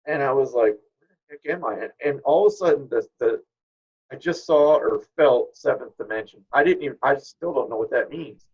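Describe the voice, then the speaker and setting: Interview guest, male, middle-aged. Husky and creaky, reflective, conversational delivery. Slightly muffled, low-fidelity recording. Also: a little fast. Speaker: guest, spirit medium. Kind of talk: informal interview talk.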